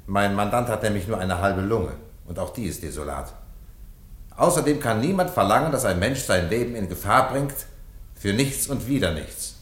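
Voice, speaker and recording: A man playing an emphatic defense lawyer, pleading rhetorically and deliberately in a 1950s radio play. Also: lowish voice, animated, natural voice. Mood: earnest pleading. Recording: 1950s radio recording, echoey courtroom.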